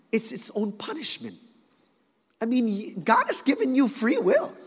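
A male preacher with a high voice delivers an animated sermon, his clear throughout. He moves from forceful, loud emphasis down to near-whispers. The voice rings out with a long reverb in a large hall.

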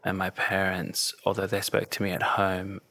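A man, a journalist guest explaining a story in a measured, thoughtful podcast conversation. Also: sits low, completely flat delivery, creaky, pushed and bright.

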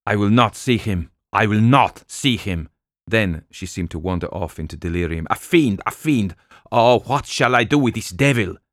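A man's voice: lowish voice, lively intonation, moderate pace, no echo, studio quality, close to an everyday voice. A man reading an audiobook voices a feverish young wife, anguished and delirious.

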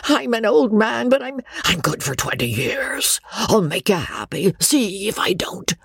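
A woman voicing a gruff, blustering old country baronet who pleads insistently in rustic, ungrammatical speech, in an audiobook. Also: medium pitch, sing-song, a little slow, a bit bright, no echo, clean studio sound, voice pushed up, full character voice. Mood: pleading, urgent.

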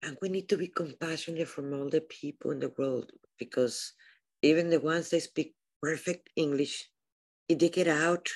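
A woman's voice: a bass voice, husky. A woman hosting a podcast, speaking in a reflective, plain-spoken way. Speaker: podcast host. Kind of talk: casual conversation.

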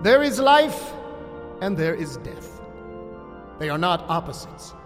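High-voiced solemn cult archpriest. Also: male voice, sing-song, slow pace, full character voice. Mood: solemn, mystical. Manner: grandiose, incantatory. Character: solemn male cult archpriest giving a mystical eulogy. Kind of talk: ceremonial audio drama oration. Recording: long hall reverb, music underneath. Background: music.

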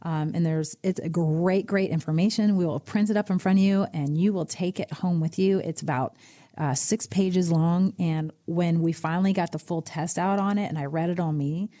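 A middle-aged woman co-hosting a casual financial talk show, animated and quick with exclamations. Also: on the low side. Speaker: podcast co-host, financial advisor. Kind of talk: casual radio chat.

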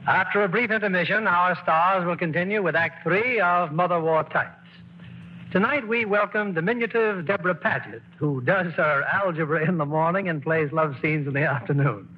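Male radio drama host, formal presenter, higher pitch, muffled vintage broadcast sound. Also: normal speed, slight background noise. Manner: polished, formal presenter delivery. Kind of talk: scripted radio hosting. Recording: dull 1940s radio broadcast.

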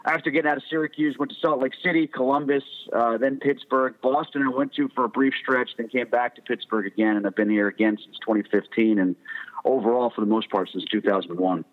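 A man's voice: medium pitch, fairly even intonation, fast. A man in his forties with an American accent, a talkative sports journalist chatting casually as an interview guest. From Connecticut, United States. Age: 40s. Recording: tinny phone-like radio line.

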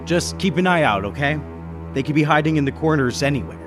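A man playing an anxious businessman who narrates a murder mystery in deliberate, dramatic 1930s radio suspense style. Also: a little high, expressive, music in the background, close to an everyday voice. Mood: wary.

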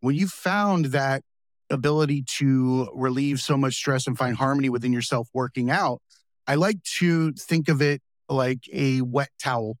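A man hosting a podcast, talking casually and drawing out homey analogies, slowing down on the points he wants to stress. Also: mid-range voice, dry recording, clean studio sound. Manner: folksy, uses homey analogies, slows for emphasis. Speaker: podcast host. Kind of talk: casual interview talk.

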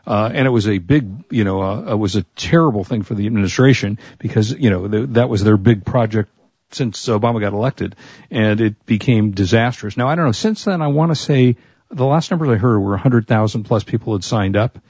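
A man's voice: on the low side, a little fast, a little mellow, slightly rough, no echo, muffled recording. A man co-hosting a casual tech show and losing his voice, chatting through product picks and tech news. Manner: chatty, offers product rundowns and opinions.

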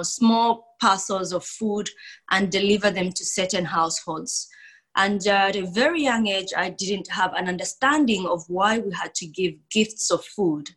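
A woman's voice: mid-range voice, fairly bright tone. A woman from Zambia, an author and philanthropist, telling her life story as a podcast guest. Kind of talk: interview storytelling.

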